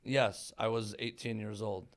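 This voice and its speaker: A man in his thirties, born in Iran, a religious scholar speaking as a guest in a relaxed interview with a measured, thoughtful manner. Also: on the low side. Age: 30s. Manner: measured, thoughtful storytelling with fillers. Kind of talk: conversational interview.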